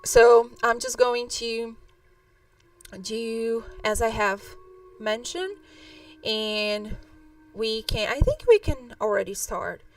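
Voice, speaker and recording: A woman's voice: fairly high pitch, slow, long pauses. A woman with a Brazilian accent, a native Portuguese speaker, chatting casually and in a rambling way to livestream viewers as a storyteller host. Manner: rambling, peppered with "like".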